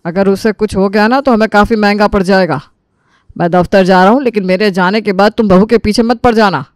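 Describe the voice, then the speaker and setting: Kindly middle-aged father-in-law, voiced by a man, soothing and concerned, animated family tale, dry close recording. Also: sits high, brisk, clear, resonant tone, dry recording, natural voice. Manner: caring, soothing. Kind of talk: Hindi animated moral story acting.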